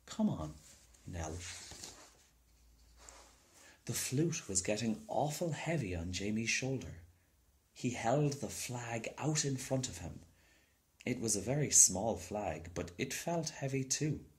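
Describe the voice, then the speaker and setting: A man's voice: medium pitch, lively intonation, unhurried. A man reading a children's story aloud as scripted narration. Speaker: storybook reader.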